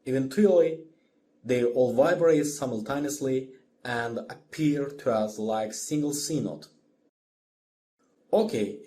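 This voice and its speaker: Mellow male lecturer, scripted lesson. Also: medium pitch. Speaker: music orchestration lecturer. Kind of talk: scripted instructional narration.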